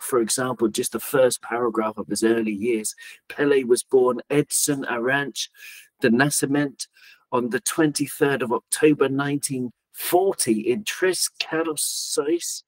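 A man's voice: mid-range voice, rough recording. A man hosting a casual football podcast, chatty and joking, with lots of fillers. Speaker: podcast host.

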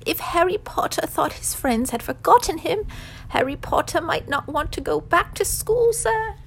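A woman's voice: very high-pitched, expressive, moderate pace, creaky, a little hiss or hum, pitched above the performer's own voice, full character voice, rough recording. A woman voicing a small, anxious male house-elf servant who pleads servilely and speaks of himself in the third person in a storybook reading. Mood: anxious, placating. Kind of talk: storybook character voice.

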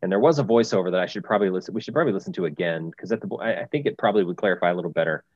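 A man's voice: low voice, very fast, darkish tone, dry recording, dull audio. A man co-hosting a podcast, chatting casually and rambling with lots of restarts. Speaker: podcast co-host. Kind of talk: casual chat.

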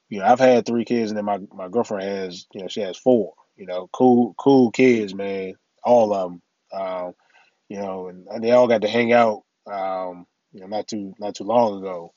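A middle-aged man hosting his own podcast in a casual, rambling way, full of "you know" and "man", his voice a little raspy from allergies. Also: fairly low pitch, darkish tone, dry recording, lo-fi sound. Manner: laid-back, rambling, many "you know" and "man" fillers. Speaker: podcast host. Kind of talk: casual solo podcast talk.

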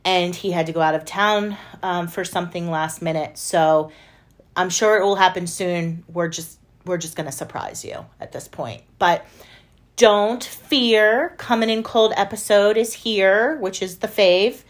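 A woman's voice: fairly low pitch, measured pace. A woman co-hosting a podcast in a casual, playful, exclamatory way. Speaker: podcast co-host. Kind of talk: casual chat.

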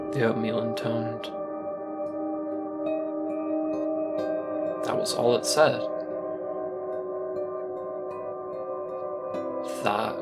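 A man playing a disgruntled, defensive night-shift kitchen worker in a halting, rambling conversational monologue for an audio drama. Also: medium pitch, vocal fry. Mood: wistful, haunted. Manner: halting, rambling, self-interrupting, filler-heavy.

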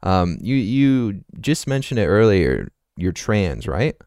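A man's voice: fairly low pitch, big pitch swings, slow pace, darkish tone, no echo, clean studio sound. A man hosting a casual podcast interview, asking questions hesitantly and apologetically, with stammered restarts. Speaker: podcast host.

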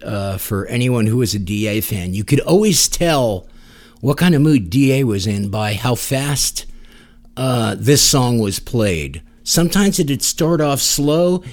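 Man, medium pitch, music podcast host, casual radio-style commentary, chatty, informal, enthusiastic fan. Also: darkish tone. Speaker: podcast host introducing songs.

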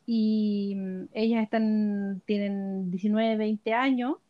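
A woman's voice: mid-range voice, fairly even intonation, slow pace, dull audio. A woman in her forties co-hosting a podcast, explaining her thoughts with careful restarts. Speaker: podcast co-host. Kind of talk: casual podcast chat.

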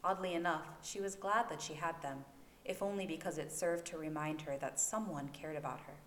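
A woman's voice: sits low, a little fast. A woman reading fiction aloud as a dramatic, scripted narration for an amateur home-recorded audio story. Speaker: fan fiction podfic narrator. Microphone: new home recording microphone. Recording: echoey room, faint background noise.

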